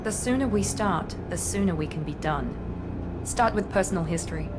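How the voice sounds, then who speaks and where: Game role: actress as a composed, lower-voiced woman agent, dry and condescending, music bed behind. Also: lively intonation, normal speed, lightly acted. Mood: cool. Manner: cool, dry, faintly condescending. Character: cool, clipped woman officer, confident and cold. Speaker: Cerberus project director. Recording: game audio with music score.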